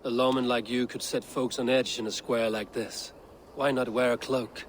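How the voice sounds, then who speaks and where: A man's voice: medium pitch, flat delivery, normal speed, a little hiss or hum, close to an everyday voice. A man playing a stern, principled Viking warrior in a video game, speaking in a grave, terse and resolute manner. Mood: businesslike. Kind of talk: dramatic video game acting.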